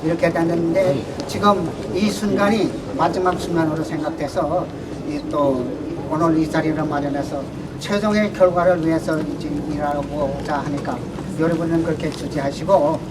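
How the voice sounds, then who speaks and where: Man, formal, halting with fillers, very quick, distant mic, noisy. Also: fairly high pitch, steady tone. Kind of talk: formal announcement. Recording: distant mic with noise behind.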